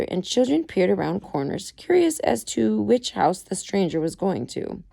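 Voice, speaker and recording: A woman's voice: medium pitch, creaky, darkish tone. A woman narrating a story aloud from a book, reading it expressively. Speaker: amateur audiobook reader.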